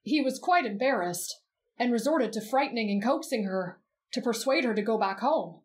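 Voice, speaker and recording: A woman voicing a gentle teenage boy who reads in a soft, measured, expressive storybook way for roleplay ASMR. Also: on the high side, lightly acted. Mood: measured storytelling.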